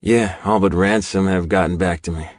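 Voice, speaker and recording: A male narrator plays a biker scout with a deep voice. He reports in a clipped, frustrated, businesslike way, in a dry audiobook recording. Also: fairly even intonation, normal speed, no room sound. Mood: frustrated, reporting.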